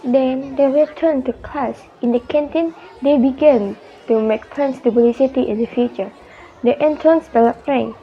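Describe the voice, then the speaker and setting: A woman's voice: high voice, moderate pace, lo-fi sound, slight background noise. A young woman reading scripted narration for a radio drama. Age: young adult.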